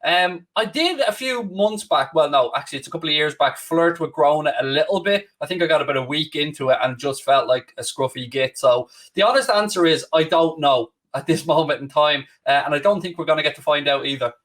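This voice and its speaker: A Dublin Irish man in his late thirties talks in a loose, rambling way and pokes fun at himself. His voice sits a little high for a man and sounds somewhat distant from the mic. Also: fairly quick, hardly any pauses, a bit bright. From Ireland. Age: late 30s. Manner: candid, rambling, self-deprecating. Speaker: podcast host. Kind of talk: casual chat.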